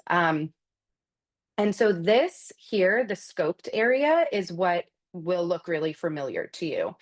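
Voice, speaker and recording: A woman hosting a live webinar as a product trainer, explaining a software feature step by step in a conversational way and addressing attendees directly. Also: fairly low pitch. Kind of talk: live screen-share walkthrough, instructional.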